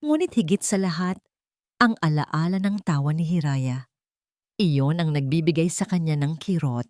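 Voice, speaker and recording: Female storyteller, Filipino accent, lower-pitched voice, dry close sound, for scripted romantic drama narration. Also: moderate pace, a little mellow, no room sound.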